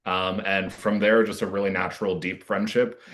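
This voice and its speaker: Low-voiced American man, halting. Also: little pitch movement, fairly bright tone. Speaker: guest, theater marketing associate and playwright. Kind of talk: casual interview chat.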